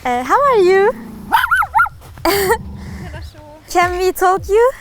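A young Turkish woman vlogger chatting with tourists in halting English, laughing often. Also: very high voice, animated. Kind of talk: casual street interviews. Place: outdoors in a tourist town. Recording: background music over the talk.

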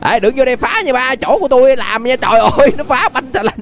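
High-pitched young southern Vietnamese man, gaming commentator. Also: fast talker, soft background music. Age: young adult. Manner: animated, exclamatory, repeats phrases. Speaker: gaming YouTuber, host. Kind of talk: excited gameplay commentary. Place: indoors at a gaming setup. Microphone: desk microphone close to the face.

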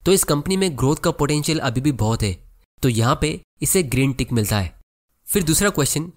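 A man narrating a scripted explainer about analyzing stocks, who addresses viewers as friends and reads checklist questions slowly. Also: a little high. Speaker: finance educator.

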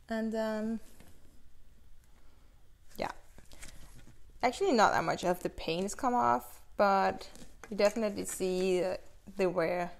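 A woman's voice: medium pitch, vocal fry. A young woman casually demonstrating chainsaw bar maintenance in a small indoor workshop, explaining practically as she handles the tools. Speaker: chainsaw instructor.

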